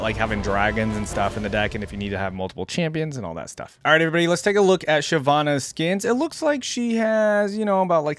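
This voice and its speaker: A man's voice: medium pitch, no echo. Man, casual gaming commentator, dry close sound. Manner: conversational, trailing asides and questions to viewers. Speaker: reaction video host.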